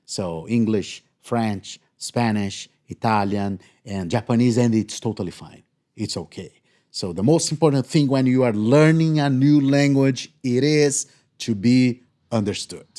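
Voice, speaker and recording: A middle-aged Brazilian man whose native language is Portuguese, co-hosting a podcast in English with a Brazilian accent and a measured, explanatory way of talking. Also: medium pitch, dark tone. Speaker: podcast co-host. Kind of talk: casual podcast conversation.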